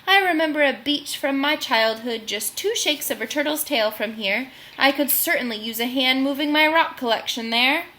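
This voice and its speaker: A woman's voice: sits high, animated, normal speed, few pauses, pushed and bright. A woman voicing a fussy, stubborn old male turtle in a children's storybook. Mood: weary, appreciative.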